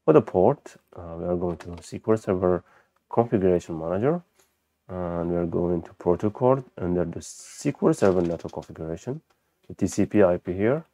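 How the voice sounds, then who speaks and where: A man who speaks as a gives an unscripted software walkthrough, haltingly thinking aloud as he demonstrates. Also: low voice, animated. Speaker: software tutorial presenter. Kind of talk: unscripted screen-recorded tutorial walkthrough. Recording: dull, lo-fi close microphone.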